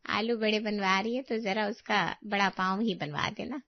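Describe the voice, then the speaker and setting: A woman voicing a chatty, flattering middle-aged neighbour in a family story, teasing and familiar. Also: medium pitch, moderate pace, dull audio, natural voice. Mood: amused, chatty.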